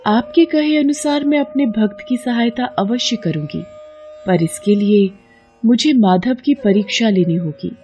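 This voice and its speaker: A woman voicing a benevolent, serene mother goddess in a mythological cartoon, measured and solemn. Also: fairly high pitch, warm tone, soft background music, lightly acted. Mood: solemn assurance.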